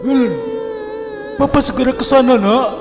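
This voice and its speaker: A man's voice: very high-pitched, normal speed, gravelly, big-room sound, music in the background, voice pushed up. A man voicing the desperate ghost of a Dutch father, anxiously calling out for his daughter. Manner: anxious, calling out in rising alarm.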